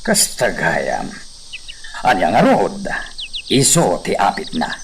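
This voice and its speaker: A man's voice: deep voice, wildly swooping pitch, slow, slight background noise, full character voice. A man playing an authoritative, well-off hacienda master with a Filipino accent in a radio drama. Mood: approving. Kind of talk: Ilocano radio drama acting.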